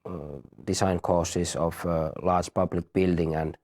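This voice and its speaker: A man in his thirties, a university teacher, explaining a study program in a halting way, often repeating words. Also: deep voice, fairly even intonation, darkish tone. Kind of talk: explaining in an interview.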